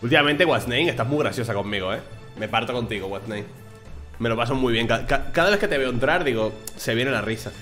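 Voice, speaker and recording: A man's voice: mid-range voice, lively intonation, quick pace, a bit bright, soft background music. A man in his thirties from Venezuela with a neutral Spanish accent, casually commenting on a game and joking while reading text aloud. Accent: neutral Spanish, faint Venezuelan. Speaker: gaming streamer. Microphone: headset.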